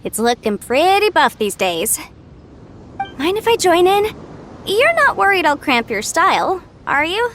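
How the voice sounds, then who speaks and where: A woman's voice: very high-pitched, very animated, measured pace, a bit bright, slight background noise, heavily acted. A woman voicing a breezy, confident young female adviser in a video game, chatty and self-assured.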